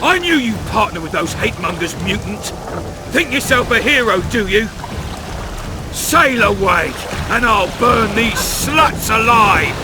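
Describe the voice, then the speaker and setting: Venomous game villain, extremely raised pitch, loud and hateful, over prominent music. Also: male voice, big pitch swings, measured pace. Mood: raging. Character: hateful, raging male villain making threats. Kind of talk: video game voice acting.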